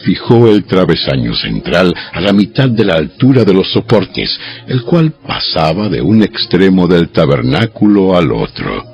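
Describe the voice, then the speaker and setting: A man narrates dramatized scripture with an extremely low, gravelly voice. His delivery is measured and solemn, like formal storytelling. Music plays softly behind a slightly muffled recording. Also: big pitch swings. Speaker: Bible narrator. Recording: dull lo-fi audio over music.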